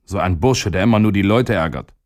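A man's voice: deep voice, fairly quick, lightly acted. A man voicing a cheeky, stubborn little boy in a storybook reading, speaking with defiant indignation. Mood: indignant.

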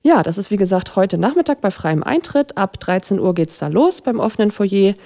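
A woman's voice: mid-range voice, very animated, moderate pace, warm tone. A woman presenting a theatre's programme in an informal, enthusiastic, conversational way. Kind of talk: informal spoken programme announcements.

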